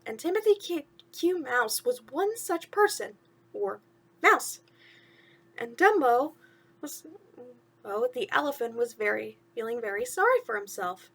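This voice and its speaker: High-voiced woman telling children's stories. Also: expressive, measured pace, creaky, fairly bright tone, breathy edge. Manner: simple, encouraging address to young listeners. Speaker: host of a bedtime story series. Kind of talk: storytelling to children.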